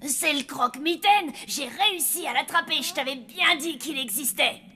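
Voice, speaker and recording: A woman voicing an eager, boastful little zombie boy in a children's cartoon, excitable and pleading. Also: high-pitched, normal speed. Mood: triumphant. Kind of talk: animated children's cartoon dub.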